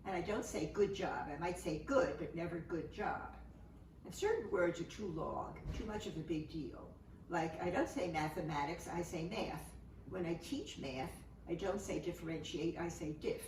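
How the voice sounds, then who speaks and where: Older woman, echoey and distant, reading poetry. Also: on the low side. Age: 70s. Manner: conversational, halting, matter-of-fact. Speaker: poet and author. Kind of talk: informal poetry reading with spoken asides. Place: room, remote home-style recording. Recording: echoey, noisy room, distant microphone.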